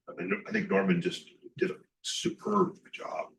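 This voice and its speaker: Man from Los Angeles, low voice, halting talk at a book event, distant mic with faint hiss. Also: huge pitch swings, creaky, a bit bright. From Los Angeles, California. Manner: halting, repeats words when starting. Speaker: poet.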